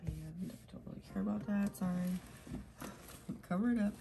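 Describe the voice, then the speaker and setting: Soft-spoken sniffly young woman. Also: fairly low pitch, fairly even intonation, normal speed, vocal fry. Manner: rambling, soft, drifts into whispers while working. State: sniffly from a cold. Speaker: planner vlogger. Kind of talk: casual plan-with-me chat.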